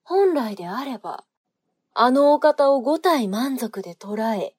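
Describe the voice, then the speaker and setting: Slow, high-voiced sinister noblewoman. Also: lively intonation, a little mellow, husky, dry recording, clean studio sound, heavily acted. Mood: cold menace. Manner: slow, taunting, courtly archaic phrasing. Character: sinister seductive noblewoman agent, archaic speech, mocking, menacing. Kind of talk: Japanese period audio drama acting.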